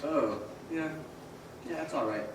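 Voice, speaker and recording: A man's voice: on the high side, measured pace, close to an everyday voice. An actor playing a stubborn, awkward, grumbling young small-town man in an old-time radio play. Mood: grudging. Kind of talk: old-time radio drama stage acting. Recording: echoey theater, distant mic, faint noise.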